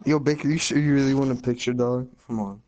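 A man's voice: mid-range voice, fairly even intonation, fast, a little mellow, highs cut off. A young man in casual, crude, slangy chat with friends while gaming, joking around as he streams. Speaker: online gamer, streamer.

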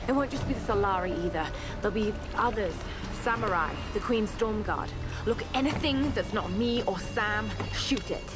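An actress playing a determined young adventurer in a video game cutscene, resolute and commanding. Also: female voice, mid-range voice, moderate pace, hardly any pauses, music in the background, lightly acted. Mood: resolute, urgent. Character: determined young woman adventurer turned fighter.

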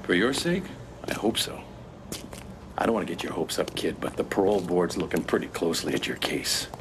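A stern but protective American prison officer from a video game. He is middle-aged and blunt, with a fairly low voice that moves expressively, heard over clear background noise. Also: sing-song, brisk. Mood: concerned. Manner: blunt, fatherly.

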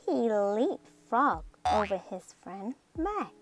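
A woman reading a children's book aloud in an expressive, dramatic storytelling manner. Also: mid-range voice. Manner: expressive, with drawn-out dramatic words and sound effects. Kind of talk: animated read-aloud storytelling. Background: music.

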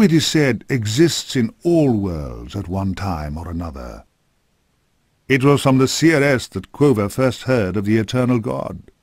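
A man narrating a scripted dark fantasy game story in a grave, measured storytelling manner. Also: medium pitch, no echo, clean studio sound.

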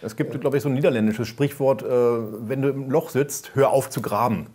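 A man's voice: mid-range voice, a little fast, darkish tone, breathy edge. A man speaking as a guest on a panel, explaining his points in a matter-of-fact way. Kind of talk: discussion panel.

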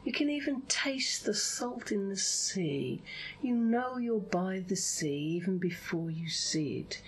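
A woman hypnotherapist guiding a visualization in a soothing, drawn-out way, often slipping into a breathy whisper. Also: medium pitch, lively intonation, a little hiss or hum, poor audio. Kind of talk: hypnosis session, guided visualization.

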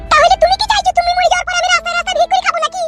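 Shrill, very high-pitched nagging wife. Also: female voice, flat delivery, rapid-fire. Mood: indignant. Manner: scolding, foul-mouthed, demanding. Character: shrill, quarrelsome village wife demanding the house. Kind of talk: comedy cartoon dialogue. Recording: loud music under the voice.

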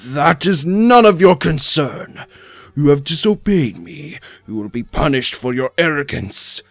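Cartoon villain master for a male actor: slow, gravelly, imperious, wrathful, dry recording. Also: fairly high pitch, sing-song, no room sound, soft background music. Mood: stern anger. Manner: imperious, threatening. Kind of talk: fan comic dub acting.

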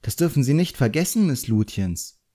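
A man's voice: medium pitch, big pitch swings, moderate pace, warm tone, pitched above the performer's own voice. Man doing an earnest, touchy boy apprentice magician. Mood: indignant.

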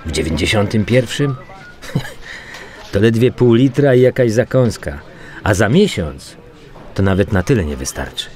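An actor playing a brusque, weary homicide detective in a naturalistic radio crime drama, colloquial and prone to swearing. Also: male voice, lowish voice, animated, normal speed, pauses often, darkish tone, soft background music, natural voice. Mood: wry, relaxed.

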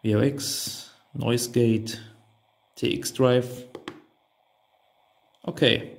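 A man's voice: mid-range voice, fairly even intonation, drawn-out words, creaky. A man whose native language is German gives an unscripted hands-on product walkthrough, hesitantly thinking aloud. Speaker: reviewer demonstrating a radio transceiver.

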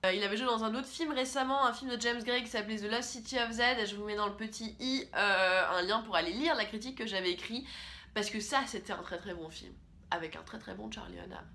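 A young woman talking casually and with strong opinions as she reviews films into a camera in a small room, often pausing on fillers. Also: medium pitch, pushed and bright. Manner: chatty, opinionated, with frequent fillers. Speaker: film critic host. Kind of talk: casual film review vlog.

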